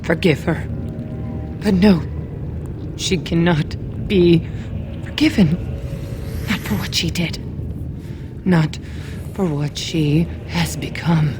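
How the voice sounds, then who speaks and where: Low, haunted, half-mad dwarf captive. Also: female voice, background noise. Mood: anguished, guilty. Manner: slow, eerie chanting verse, breaking into anguished whispers. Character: haunted, half-mad dwarf woman, captured survivor, grieving. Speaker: video game character. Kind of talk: dramatic fantasy game acting.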